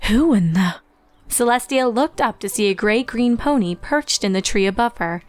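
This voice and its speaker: A woman's voice: on the high side, lively intonation, normal speed, clean tone. A woman reading scripted storybook narration as the narrator of a fan fiction tale. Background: music.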